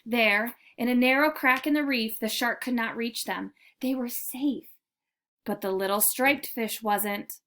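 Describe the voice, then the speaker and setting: High-voiced woman reading a children's story. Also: a little slow, fairly bright tone. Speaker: read-aloud storyteller. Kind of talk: children's picture book narration.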